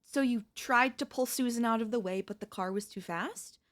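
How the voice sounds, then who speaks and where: A woman playing an anxious, doting and quietly controlling wife in an intimate ASMR audio roleplay, with breathy, hesitant, coaxing delivery. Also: fairly high pitch, moderate pace, close to an everyday voice. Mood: disbelieving, horrified.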